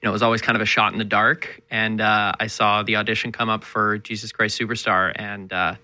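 This is a man in his thirties, a stage actor, talking modestly in an easy, halting way. His voice sits a little low but rings very bright. The sound is dry and close. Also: no echo. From Calgary, Canada. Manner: relaxed, modest, halting with fillers.

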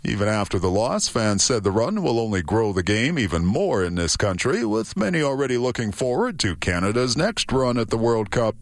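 A man anchoring a radio newscast, reading the stories in a steady, measured way. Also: mid-range voice. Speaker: news anchor.

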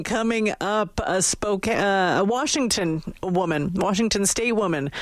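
A chatty woman hosts morning talk radio in an upbeat, loose way, leaning on fillers as she goes. Her voice sits in a middle pitch and sounds dry and close to the mic. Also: no room sound. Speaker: radio host.

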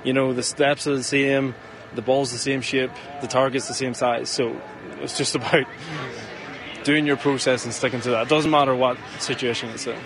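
A young man with a British accent, talking in an interview clip as a football kicker, relaxed and laid-back with lots of "like" and "you know". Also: medium pitch, moderate pace, a crowd in the background.

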